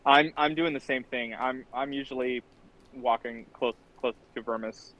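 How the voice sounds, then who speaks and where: A man's voice: medium pitch, steady tone, moderate pace, sharp tone. A man playing a tabletop game, casually speaking as himself and for his character. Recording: dull, lo-fi microphone.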